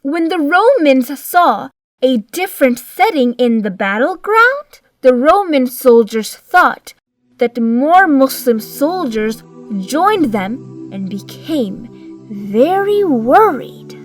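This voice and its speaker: A woman narrating a scripted story for children in a simple, deliberate, measured way. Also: high-pitched, sing-song, no echo. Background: background music.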